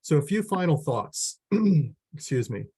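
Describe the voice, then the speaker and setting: A man with a hoarse voice delivers a training webinar, rushing through his material. He restarts his sentences and fills gaps with "uh" and "um." The sound comes through a video call. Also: fairly high pitch, big pitch swings, mellow. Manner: quick, with frequent restarts and fillers. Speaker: extension educator. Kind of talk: webinar presentation.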